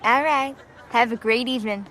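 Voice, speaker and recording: Actress as high-pitched chirpy neighbour woman. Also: moderate pace, a bit bright, lightly acted. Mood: friendly, excited. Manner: eager, chatty. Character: friendly, chirpy young neighbour woman, 1930s. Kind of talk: video game cutscene acting. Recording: faint outdoor street noise.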